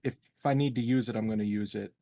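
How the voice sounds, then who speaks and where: A man's voice: fairly low pitch, fairly even intonation, racing, gravelly, warm tone, dry recording, studio quality. A man chatting casually as a tabletop game player, with a wry, informal way of talking. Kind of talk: casual chat.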